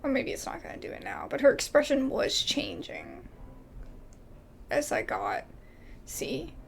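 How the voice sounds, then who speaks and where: A woman with a high voice that often drops into vocal fry. She narrates a video game casually, reading lines aloud and adding informal exclamatory comments. Her intonation is animated, and there is faint noise behind her. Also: unhurried. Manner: informal, exclamatory asides with frequent "like".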